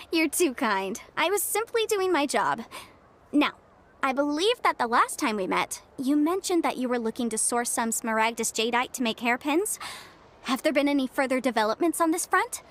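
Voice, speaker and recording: A woman's voice: sits high, big pitch swings, moderate pace, a bit bright. A woman voicing a young, self-assured legal advisor in a video game, speaking precisely and courteously over music. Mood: polite. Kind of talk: English video game voice acting.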